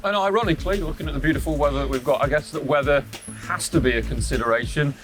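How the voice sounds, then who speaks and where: A man's voice: fairly high pitch, quick pace, music in the background. A man presenting an on-location promotional interview, asking questions in a chatty, conversational way.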